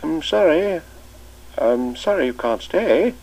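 A man's voice: a little high, animated, takes it slowly, creaky, a little mellow, voice pushed up, heavily acted, low-quality recording. A man reading an audiobook, voicing a kindly elderly male schoolmaster who hesitates with many ums and uhs. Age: older.

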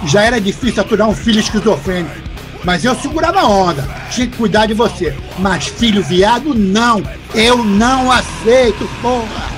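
Man acting a brutal, high-voiced raging father. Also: animated, normal speed, over music. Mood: bitter, angry. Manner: aggressive, cursing, barking orders. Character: brutal, threatening, bigoted middle-aged father. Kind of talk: audio drama acting.

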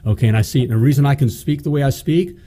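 A man's voice: mid-range voice, very fast, dark tone. A middle-aged man hosting a motivational business show in an indoor studio, talking emphatically into a studio mic on a stand and often checking in with "okay?" and "you know". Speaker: host.

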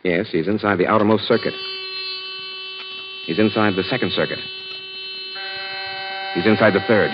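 An actor playing a calm, authoritative male sleuth in a measured 1940s radio drama style. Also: fairly low pitch, background noise, lightly acted. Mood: calm, assured. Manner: measured, assured, explanatory. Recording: muffled old radio recording.

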